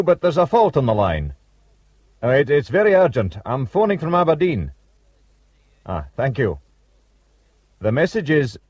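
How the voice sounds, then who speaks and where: An actor playing a man phoning through an urgent message, speaking slowly and carefully in a radio drama. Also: fairly high pitch, darkish tone, husky, no echo, muffled recording, full character voice. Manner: slow, spelling out carefully.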